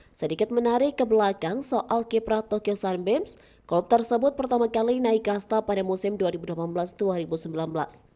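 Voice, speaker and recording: A woman narrates a sports news piece from a script, with a mellow, warm tone. Her delivery is even and read-aloud, easing down at the close of each sentence. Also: mid-range voice.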